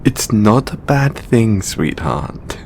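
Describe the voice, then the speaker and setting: Over a rumbly, noisy background comes an affectionate, stressed god acted by a man talking to a beloved devotee. The voice sits low and swings expressively, with slow, halting phrasing. It is close, playful boyfriend roleplay. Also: big pitch swings, vocal fry, darkish tone, full character voice. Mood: tender, affectionate. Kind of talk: intimate audio roleplay acting.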